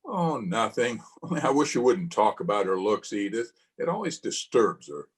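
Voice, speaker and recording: A man's voice: lowish voice, big pitch swings, normal speed. A man playing a doting, reassuring husband in an old-time radio play. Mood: defensive. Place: home, over a video call.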